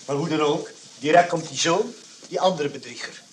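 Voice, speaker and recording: A man's voice: fairly high pitch, normal speed, lightly acted, low-quality recording. An actor playing a polite, businesslike man receiving visitors at a rest home in a radio play. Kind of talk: comic radio play acting. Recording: faint noise, old radio play recording.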